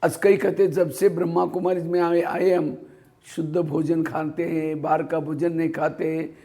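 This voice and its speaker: High-pitched gravelly male spiritual teacher. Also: little pitch movement, normal speed, dark tone. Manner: storytelling with rhetorical questions, answers them himself. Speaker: spiritual teacher giving a class. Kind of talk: Hindi spiritual discourse.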